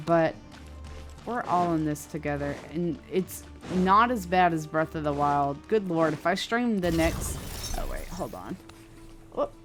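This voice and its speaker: A woman game streamer chatting casually as she plays, rambling and thinking aloud. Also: sits low. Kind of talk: casual livestream gameplay chat. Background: game music.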